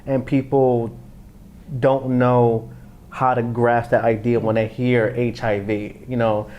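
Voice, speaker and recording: A man's voice: mid-range voice, flat delivery, slow pace, darkish tone, dull audio. A man in his twenties answering an interview question in an earnest way, with some fillers. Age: 20s. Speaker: interview guest.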